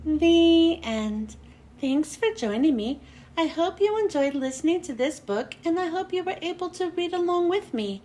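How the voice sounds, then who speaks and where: A woman reads a children's story aloud in a high voice. Her intonation rises and falls widely, and she takes her time over the phrases. The delivery is expressive, careful storytelling. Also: measured pace, slight background noise. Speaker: children's read-aloud host. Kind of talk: scripted storybook narration.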